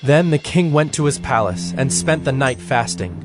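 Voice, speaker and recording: A man's voice: mid-range voice, expressive. Man narrating scripture over music. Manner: formal, measured storytelling. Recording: music bed behind the voice.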